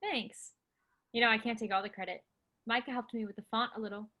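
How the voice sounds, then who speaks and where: Casting: actress as daring young woman, breezy chatterer, higher bright voice, conversational stage-play delivery. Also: steady tone, fairly quick. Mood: affectionate. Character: bold, excitable young woman, amateur urban matador.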